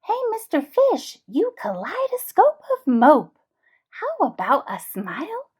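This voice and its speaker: A woman's voice: sits high, wildly swooping pitch, slow, a little mellow, pitched above the performer's own voice, exaggerated voice. A woman voicing a brash, slightly rude female squid in a children's storybook, pushy and exclaiming. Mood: scolding, urging. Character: slender, slightly impolite female squid scolding a fish.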